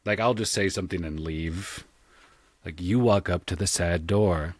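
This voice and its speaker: A man's voice: low voice, normal speed, breathy edge, no echo. A man speaking informally as a podcast guest, a game master and sound designer chatting about his craft. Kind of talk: casual conversational interview.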